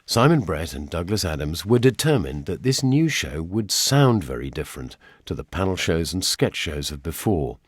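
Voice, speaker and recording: A man's voice: low-pitched, sing-song, normal speed, hardly any pauses, breathy edge, no room sound. A man with a British accent presenting a scripted radio documentary in a wry, dryly humorous way. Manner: wry, dry humour.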